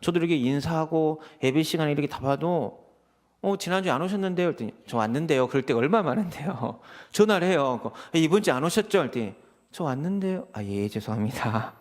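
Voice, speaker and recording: A man's voice: on the high side, quick pace. A man, preaching a conversational sermon full of personal anecdotes and acting out quoted exchanges. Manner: animated storytelling, acts out quoted exchanges. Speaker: associate pastor preaching.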